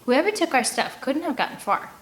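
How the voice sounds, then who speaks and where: A woman's voice: sits high, sing-song, a little fast, a little hiss or hum, voice pushed up. A woman voicing a bossy, suspicious young boy scout with an insistent manner in a storybook read-aloud.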